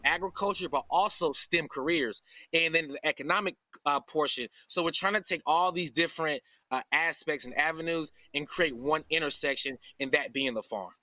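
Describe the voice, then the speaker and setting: American male, thirties, crisp bright voice, dry video-call sound, informal and explanatory interviewee. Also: on the high side, dry recording. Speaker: farm founder and interview guest. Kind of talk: conversational live TV interview. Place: outdoors under an open farm shelter.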